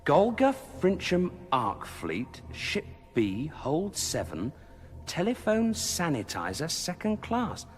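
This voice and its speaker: Dry brisk space wanderer, lively mid voice, bit of music behind, radio comedy. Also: male voice, a little high, exaggerated voice. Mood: dry, curious. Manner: dry, urgent. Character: brisk, flippant, quick-witted male space traveller. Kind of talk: sci-fi comedy radio drama.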